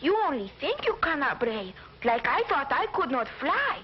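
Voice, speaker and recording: A man voicing a small young male eagle, gentle, earnest and encouraging. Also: extremely high pitch, big pitch swings, moderate pace, a little hiss or hum, voice pushed up. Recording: dull old record with music.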